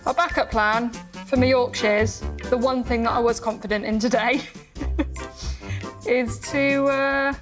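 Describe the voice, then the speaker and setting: A woman's voice: fairly high pitch, steady tone, a little slow. A British woman chatting while she cooks, giggly and self-deprecating. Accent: British English. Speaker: contestant cooking. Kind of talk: casual chat while cooking. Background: music.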